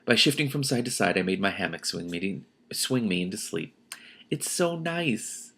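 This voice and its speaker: A man reading a novel aloud as host, expressive and emotionally invested, breaking in with spontaneous, effusive reactions. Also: lowish voice, normal speed, vocal fry, breathy edge.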